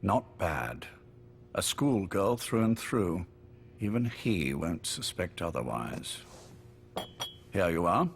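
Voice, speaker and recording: Casting: older, ailing spymaster for game drama; lowish voice, urbane, wry, quietly menacing. Noisy, rumbling recording. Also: male voice, sing-song, gravelly, exaggerated voice. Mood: courteous, wry. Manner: urbane, measured, wryly polite. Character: genteel, sickly older British male spymaster, courteous but menacing.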